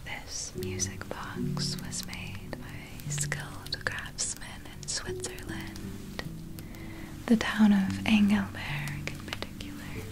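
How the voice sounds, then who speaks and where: A woman voicing an ageless, eerily courteous vampire in a whispered ASMR roleplay, telling tales in formal, old-fashioned storyteller diction. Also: on the high side. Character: ageless vampire recounting past feedings, eerily courteous, possessive.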